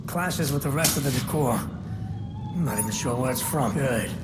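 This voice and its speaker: Casting: male actor for older, cultured game crime boss, wary and unruffled, dryly sardonic, loud score underneath. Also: medium pitch, echoey room, natural voice. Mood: dry, commanding. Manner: dry, sardonic, unhurried. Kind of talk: cinematic video game acting. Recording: music under the voice. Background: loud music.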